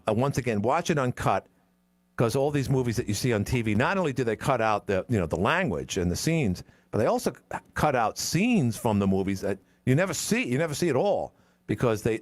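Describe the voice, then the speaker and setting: A man's voice: fairly low pitch, very animated, brisk, no echo. A man of sixty, hosting a call-in radio talk show, opinionated and rambling, often breaking off and restarting his sentences. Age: 60s. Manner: rambling, opinionated, self-interrupting. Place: radio studio.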